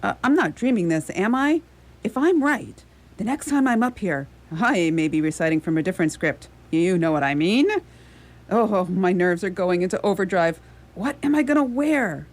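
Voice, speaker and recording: A woman's voice: mid-range voice, animated, normal speed, close to an everyday voice. A woman in a radio theatre play acting a lonely, nervous superhero cosplayer, theatrical, dithering and full of self-questioning. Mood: nervous, flustered. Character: lonely, nervous young superhero cosplayer reciting poetry on a rooftop.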